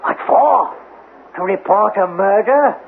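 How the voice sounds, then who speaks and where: Creaky, high-voiced suspicious recluse. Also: male voice, expressive, slow pace, exaggerated voice. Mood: agitated, secretive. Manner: evasive, repetitive, dramatic storytelling. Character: suspicious, eccentric, nervous male recluse neighbour. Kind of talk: 1970s radio drama acting. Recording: tinny band-limited old radio recording, hiss.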